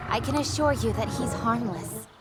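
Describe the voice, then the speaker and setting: A woman's voice: a little high, normal speed, loud music behind the voice, lightly acted. A young woman playing a poised, courteous monster rider in a game cutscene, polite and articulate. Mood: polite, composed.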